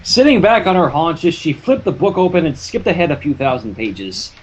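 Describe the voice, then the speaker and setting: A man narrating fan fiction in an animated storytelling style. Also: a little high, moderate pace, slightly rough, dull audio. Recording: noticeable background noise.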